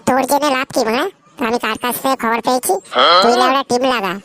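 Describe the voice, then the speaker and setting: A woman's voice: on the high side, fairly even intonation, fast, a bit bright, no echo, lightly acted. A woman voicing a cocky, greedy young male schemer in a comic cartoon, brash, bossy and slangy. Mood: bossy, scheming.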